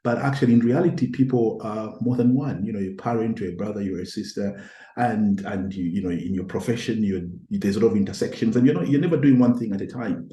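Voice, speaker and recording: A middle-aged man with a mellow, lowish voice talks things through as a podcast guest. He pauses, circles back and repeats words as he gathers each thought, and his is Tonga. The sound is roomy, with noticeable echo around him. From Zambia. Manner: thoughtful, halting, with many repeated words and restarts. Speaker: guest, academic. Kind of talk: reflective podcast conversation.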